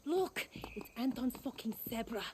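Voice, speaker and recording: An actress playing a fiery, profane young rebel woman who shouts with attitude. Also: a little high, lively intonation, moderate pace, noisy background, natural voice. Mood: brash.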